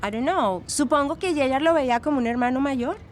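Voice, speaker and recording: A woman's voice: sits high, brisk, lightly acted. An actress playing a frank, protective young Colombian woman giving casual testimony in an audio drama, dropping in English phrases. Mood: doubtful, disapproving. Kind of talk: documentary-style testimony, scripted audio drama. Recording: voice over faint ambient noise.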